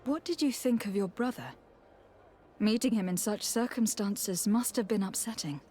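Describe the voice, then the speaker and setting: A woman's voice: mid-range voice, normal speed, dark tone, slight background noise, natural voice. An actress playing a courteous, caring noblewoman emissary in a video game, polite and composed in formal phrasing. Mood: considerate. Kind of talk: video game dialogue acting.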